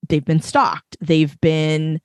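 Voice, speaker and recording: Woman panelist, low voice with lingering roughness, emphatic and passionate, dry close sound. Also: level tone, takes it slowly, dry recording. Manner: passionate, emphatic, long run-on points. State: recovering from illness, voice a bit rough. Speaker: podcast panelist. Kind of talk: casual panel discussion.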